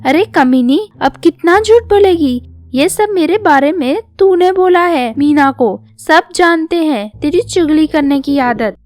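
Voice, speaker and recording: A woman's voice: sits high, animated, a little slow, few pauses, a little mellow, dry recording, soft background music, voice pushed up. A woman voicing an angry young female friend, blunt and accusing, in a storybook tale.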